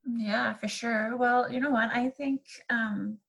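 A woman in her thirties speaks English with a French accent; French is her first language. She talks as a podcast guest in a husky, slightly breathy voice. Her delivery is chatty and easygoing, full of fillers. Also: on the high side, fairly even intonation, takes it slowly, fairly bright tone. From Canada. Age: 30s. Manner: chatty, many fillers, laughs easily. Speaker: podcast guest, graphic designer. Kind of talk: casual interview chat.

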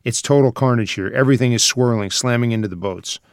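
A man's voice: mid-range voice, fast, no echo, clean studio sound. A man reading out screenplay scene headings and stage directions as a scripted narrator.

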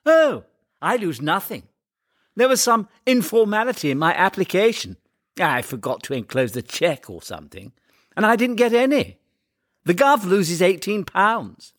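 A man voicing a cocky, flippant, excitable young son in a comic story, with drawling, exaggerated emphasis. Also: sits high, normal speed, no room sound, clean studio sound, pitched above the performer's own voice, full character voice.

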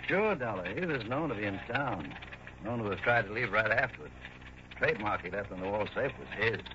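A man's voice: on the low side, lively intonation, a little fast, close to an everyday voice. A male actor playing a plain-spoken, world-weary police sergeant in a 1960s radio drama. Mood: matter-of-fact. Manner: emphatic, plain-spoken, slangy. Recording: dull old radio recording, clear hiss.

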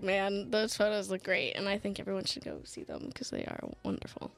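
A young woman hosting a college radio talk show, joking and swearing, with loud laughs when her friends tease her. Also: medium pitch, huge pitch swings, fairly quick, vocal fry. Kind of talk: casual chat.

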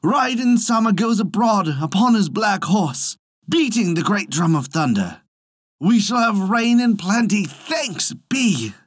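A storyteller voicing a poor, pious, excitable peasant man who exclaims in old-fashioned storybook phrases. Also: medium pitch, measured pace, few pauses, no echo, studio quality, pitched above the performer's own voice. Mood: excited. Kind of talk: storybook reading.

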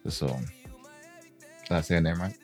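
Young male podcast co-host, low voice, loose and jokey, light music under him. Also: slow pace, darkish tone. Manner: loose, joking, swears casually. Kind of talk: casual chat.

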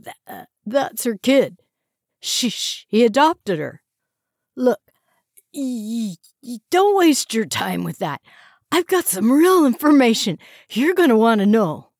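A man's voice: very high-pitched, animated, slow, creaky, a bit bright, dry recording, studio quality, pitched above the performer's own voice, heavily acted. A woman voicing a terrified captive man who stammers and pleads. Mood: panicked. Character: terrified captive young man blurting secrets.

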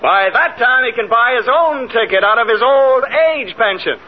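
An actor playing an indignant, skeptical children's program host, formal and sarcastic, in a 1950s radio comedy. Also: male voice, very high-pitched, moderate pace, a crowd in the background. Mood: dryly skeptical. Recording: muffled, very noisy old radio recording, loud audience.

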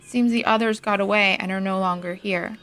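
A woman's voice: medium pitch, steady tone, fairly quick, a bit bright, lightly acted. A woman playing an earnest, determined young heroine in an audio drama. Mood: observant.

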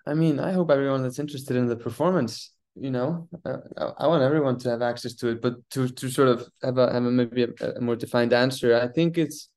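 Young man from Iceland, theater guest, speaks in starts and restarts, middle-pitched voice. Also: a little mellow. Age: 20s. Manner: thoughtful, halting, many restarts and repeated words. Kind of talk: casual interview.

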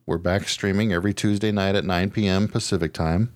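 A man's voice: low voice, steady tone, a little fast, no echo, clean studio sound. A man hosting a podcast in casual chat, talking informally with some mild swearing and self-deprecation. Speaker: podcast host.

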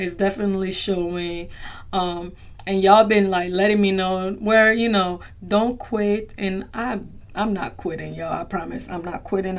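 A young woman hosts her own podcast and talks straight to her listeners. Her talk is casual and heartfelt, full of fillers, and she calls them y'all. Her voice sounds a little strained, as if it has not fully come back. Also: medium pitch. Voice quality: voice slightly strained from recent voice loss. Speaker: podcast host.

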